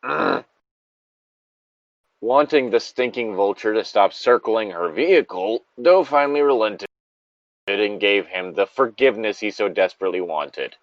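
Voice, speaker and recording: A man reads aloud and breaks off for informal commentary. His voice is on the low side and moves around in a lively way. The recording is slightly dull and lo-fi. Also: unhurried, no echo. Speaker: co-host reading a story aloud. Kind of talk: read-aloud with casual commentary.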